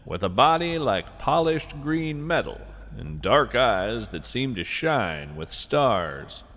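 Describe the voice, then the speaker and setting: A man hosting a scripted podcast, narrating whimsical nature fiction and poetry in an earnest, deliberate, conversational way. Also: medium pitch, very animated, a bit bright, big-room sound.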